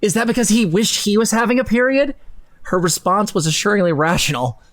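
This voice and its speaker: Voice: mid-range voice, expressive, brisk, fairly bright tone. A man doing a mock-dramatic reading on a live stream, theatrical and sarcastic, breaking off for casual, sweary asides. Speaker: co-host reading text aloud on a live stream. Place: indoor room at a computer, live stream.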